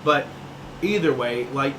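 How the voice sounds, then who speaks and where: A man's voice: medium pitch, lively intonation, unhurried, noisy background. A man co-hosting a casual sports podcast, ranting emphatically and swearing often. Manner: heated, swearing, emphatic rants. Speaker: podcast co-host.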